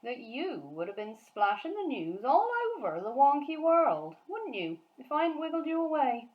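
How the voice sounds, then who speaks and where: A woman voicing a big friendly giant from a storybook, excitable and kindly, speaking emphatically in garbled grammar and nonsense words. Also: high voice, moderate pace, highs cut off, pitched above the performer's own voice. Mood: agitated, warning.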